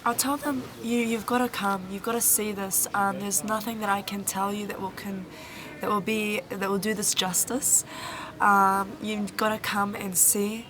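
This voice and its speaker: Earnest, flat-voiced young woman volunteer. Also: mid-range voice. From New Zealand. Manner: earnest, halting, many ums and restarts. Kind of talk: interview answers. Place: Egyptian village, indoors or outdoors. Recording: noisy background.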